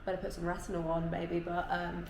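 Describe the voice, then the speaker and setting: Woman, twenties, casual chatty vlog Q&A, gravelly fry in the voice, roomy echo, informal phrasing. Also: fairly low pitch, monotone, a little fast, darkish tone. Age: 20s. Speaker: vlogger answering viewer questions. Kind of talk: casual chat to camera, Q&A. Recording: echoey room with faint background noise.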